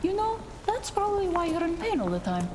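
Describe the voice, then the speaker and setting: A woman's voice: very high-pitched, very animated, fairly quick. A woman playing a tough, no-nonsense, foul-mouthed partner in a cyberpunk noir audio drama, blunt and exasperated in her delivery. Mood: scolding. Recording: long reverb, noisy sound bed.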